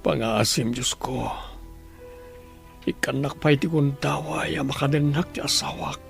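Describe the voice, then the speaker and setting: A man acting in a radio drama as a wealthy husband who pleads prayerfully, with a breathy delivery. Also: fairly high pitch, measured pace, faint music behind. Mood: anguished, pleading. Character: anguished wealthy husband praying for his wife.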